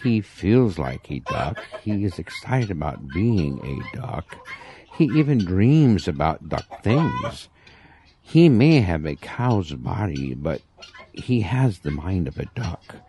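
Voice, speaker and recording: A man's voice: low voice, big pitch swings, slow pace, mellow, slight background noise, heavily acted. A man playing an earnest, reassuring doctor in a comic audio drama, persuasive and a little patronizing. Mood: persuasive, approving. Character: smooth-talking, earnest, reassuring male doctor.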